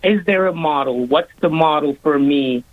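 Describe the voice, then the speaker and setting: Hesitant man on a phone-like line. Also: fairly high pitch. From Lebanon. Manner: hesitant, halting. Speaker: audience caller asking a question. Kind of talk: earnest spoken question to a speaker. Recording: thin phone-like connection.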